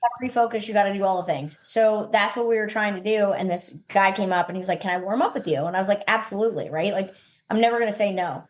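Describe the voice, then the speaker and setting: Female podcast guest, mid-pitched, conversational champion athlete who talks in chatty runs and keeps tagging points for agreement. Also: quick pace. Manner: chatty, tags points with "right?" and "you know what I mean". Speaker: interview guest, champion cornhole player. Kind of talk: casual podcast interview answer.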